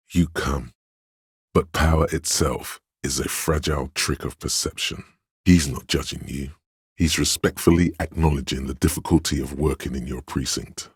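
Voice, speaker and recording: A domineering inner voice of authority from a detective video game. The voice is exceptionally deep, with a heavy husky rasp, recorded close and dry. It delivers weighty, imperious narration like orders that expect obedience. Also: male voice, dry recording, exaggerated voice. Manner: commanding, imperious, pompous.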